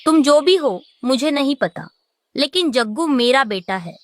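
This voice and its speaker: A woman's voice: high-pitched, lively intonation, a little slow. A woman voicing a caring, protective mother bird in a children's cartoon. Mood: firm. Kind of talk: animated children's story acting.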